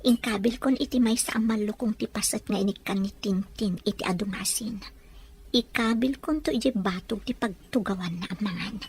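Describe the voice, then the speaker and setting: A woman's voice: medium pitch, normal speed, close to an everyday voice. A woman playing a dutiful, watchful young housewife in an Ilocano-accented audio drama. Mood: secretive, suspicious. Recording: faint background noise.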